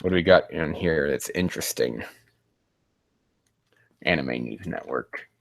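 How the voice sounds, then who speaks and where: A man hosting a casual livestream, rambling and haltingly muttering to himself, with loose, crude language. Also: a bass voice, lively intonation, gravelly. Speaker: livestream host.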